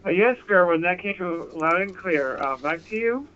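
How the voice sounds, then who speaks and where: A man with an American accent talking over amateur radio in a polite, measured, conversational way. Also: sits high, animated, a bit bright. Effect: radio transmission. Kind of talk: ham radio contact, conversational. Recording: narrow, phone-like handheld radio audio.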